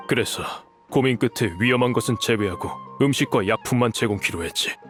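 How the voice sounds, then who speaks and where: A male actor plays a dignified older merchant with a low voice and a measured, elderly formality. He is a gentle, remorseful father figure in a game drama, with soft music underneath. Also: natural voice. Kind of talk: dubbed video game acting.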